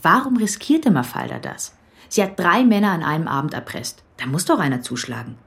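Lebhafte, neugierige Ermittlerin im Krimi. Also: female voice, fairly low pitch, a little fast, gravelly. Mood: curious, excited. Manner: inquisitive, expressive. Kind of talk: German radio crime drama acting.

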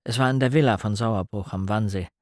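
A man narrating a radio play in the first person, in a measured, reflective way. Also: fairly low pitch, no room sound, clean studio sound, close to an everyday voice.

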